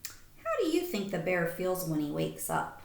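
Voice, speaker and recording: Female primary-style read-aloud teacher: slow, very lively intonation, child-directed questions, roomy echo. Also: on the low side, creaky, a little hiss or hum. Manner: animated, simple child-directed phrasing. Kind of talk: expressive picture-book read-aloud with questions. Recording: echoey room.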